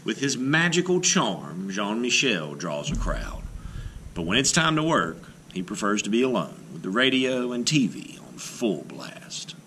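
Male storybook reader, lowish and forceful, dramatic phrasing with deliberate pauses. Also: sing-song, slight background noise. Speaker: art teacher reading a picture book aloud. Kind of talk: expressive children's picture book read-aloud.

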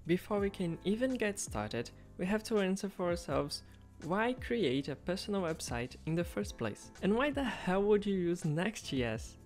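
A man's voice: sits high, big pitch swings, a little slow. A man whose first language is Portuguese, narrating a coding project in an upbeat, casual voice-over. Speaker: web developer vlogger. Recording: soft background music under voice.

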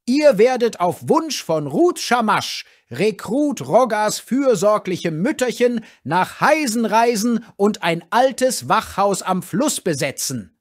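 A furious, stout goblin commander in a fantasy tale. He bellows his orders in a high, slow, drawn-out voice that swings up and down. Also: very animated, no echo, studio quality, full character voice. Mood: furious, mocking. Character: stout, angry, shouting male goblin commander. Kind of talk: fantasy storytelling character voice.

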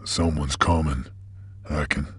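Gruff game bounty hunter creature: rock-bottom pitch, husky gravel, Western American cowboy drawl, terse and folksy, close dry sound. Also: male voice, little pitch movement, slow, fairly bright tone, no echo, exaggerated voice. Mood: gruff, musing. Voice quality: gravelly, raspy. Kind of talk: video game voice acting.

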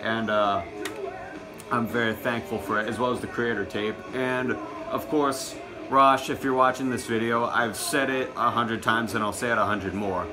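A man's voice: mid-range voice, fairly even intonation, normal speed, over music. A young man in his teens or early twenties doing a casual show-and-tell to camera, recorded at arm's length in a small room at home. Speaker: music collection vlogger.

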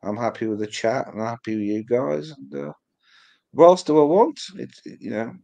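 A man's voice: medium pitch, animated, normal speed, vocal fry, dark tone. A middle-aged man whose first language was Polish, chatting casually on a video call and rambling with lots of "you know". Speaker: livestream co-host. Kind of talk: casual chat.

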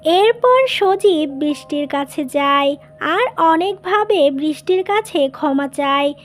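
A woman's voice: sits high, expressive, slow pace, soft background music. A woman reading scripted narration for a cartoon family story.

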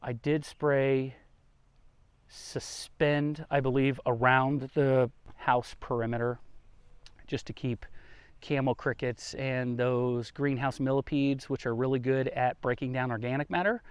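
A man's voice: medium pitch, steady tone, measured pace, smooth, dry recording. A man hosting a garden tour outdoors, talking in a folksy, conversational way as he explains things casually. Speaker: gardening vlogger, host.

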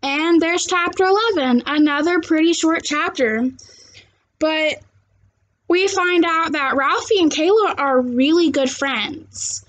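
A woman's voice: sits high, takes it slowly, fairly bright tone, low-quality recording. A young adult woman reading a children's novel aloud like a teacher and addressing young listeners in simple, direct words. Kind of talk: read-aloud of a children's novel with chat to viewers. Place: small living room, seated on a couch indoors.

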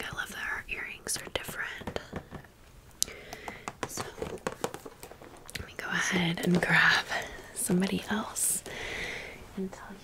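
Slow whispering woman, ASMR storytime. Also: medium pitch. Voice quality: breathy whisper with some creak. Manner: chatty, rambling, wry. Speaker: ASMR host.

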